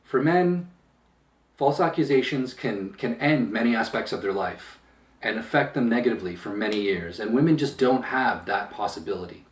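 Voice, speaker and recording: A man giving an emphatic opinion monologue as a channel host, with a powerful voice that swells louder and slow, deliberate phrasing with pauses. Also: mid-range voice, lively intonation. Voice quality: powerful voice that gets loud when upset. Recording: roomy echo, distant from mic.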